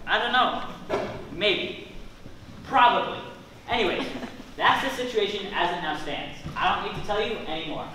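A man's voice: high-pitched, animated, normal speed, long pauses, distant mic. Young guy playing bossy assistant manager, curt, swingy voice, stage comedy, echoey noisy hall. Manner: curt, self-important. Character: officious, weary male assistant store manager. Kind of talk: amateur stage comedy acting. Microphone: distant camera.

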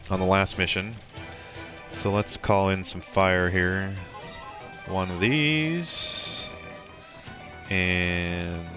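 A young man giving casual, rambling commentary over a game walkthrough, with plenty of ums and restarts. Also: deep voice. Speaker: gameplay commentator. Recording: game music playing under the voice. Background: game music.

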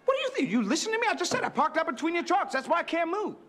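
An actor playing a brash, pushy man bluffing his way as an important surgeon in a film comedy, loud and argumentative. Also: very high voice, expressive, fairly quick, exaggerated voice. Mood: indignant.

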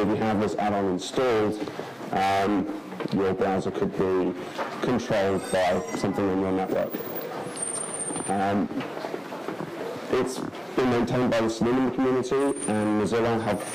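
A man in his thirties giving a technical conference talk in a large lecture hall, relaxed, with many fillers and restarts. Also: lowish voice, moderate pace, over music. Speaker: conference speaker.

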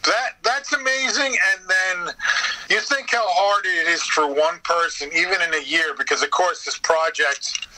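A man giving a casual interview as a guest, telling stories in an animated way with mild swearing and quoted exchanges. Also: high voice, a little slow, noisy background. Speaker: podcast guest, voice actor.

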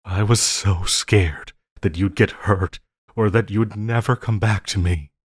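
A man playing a lovesick, possessive supervillain in an intimate audio roleplay, pleading haltingly and using tender endearments. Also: on the low side, fairly even intonation, breathy edge, dry recording, studio quality. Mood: pleading, remorseful. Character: lovesick, possessive yandere supervillain pleading with his beloved hero.

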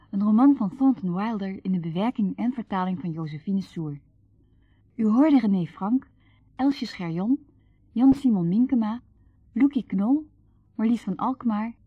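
Formal woman announcer, slightly husky. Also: mid-range voice, no echo. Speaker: continuity announcer. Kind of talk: scripted radio credits announcement. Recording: muffled radio sound, faint music.

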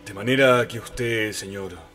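An actor playing a stern, exasperated judge in a radio drama, formal and authoritative. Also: male voice, fairly low pitch, unhurried, fairly bright tone. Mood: formal, puzzled. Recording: music behind the voice.

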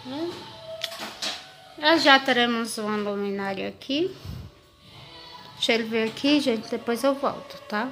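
A woman's voice: on the high side, a little slow, pauses often, fairly bright tone, soft background music, low-quality recording. A woman with a Brazilian accent chatting casually to viewers as a home vlogger, informally showing and explaining things as they go. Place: home kitchen, indoors. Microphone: handheld phone or camera, held by the speaker.

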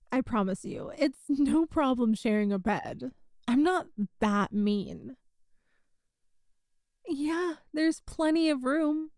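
A woman plays a young woman who loves to tease, speaking one side of an intimate roleplay conversation. Her voice sits high and she takes her time over each line, conversational and playful. The sound is close and dry, with no room around it. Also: darkish tone, no echo, heavily acted. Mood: reassuring. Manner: teasing, giggly, conversational. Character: playful teasing young woman, best friend's older sister. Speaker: audio roleplay voice actor.

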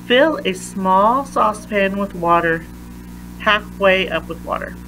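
A woman reads a picture book aloud for children at a slow, careful pace. She pauses between phrases, her voice sitting at a medium pitch. A faint hum of noise runs behind her. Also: expressive, a bit bright, rough recording. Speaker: read-aloud reader.

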